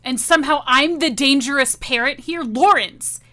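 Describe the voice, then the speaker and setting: A woman plays a bitter, betrayed mother in a tabletop drama. Her voice is high and tense, with halting, accusing speech. She sounds desperate and emotional. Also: pushed and bright. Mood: indignant.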